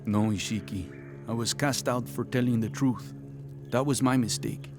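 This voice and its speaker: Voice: medium pitch, moderate pace, lightly acted. A performer playing a wary, deferential and resentful outcast trader in a video game cutscene. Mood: resentful, subdued. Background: game music.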